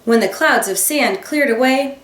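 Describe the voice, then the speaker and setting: A woman teacher reading a children's picture book aloud, expressive, with gasps and dramatic emphasis. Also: high voice, normal speed, noisy background. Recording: roomy sound, voice a bit far from the mic.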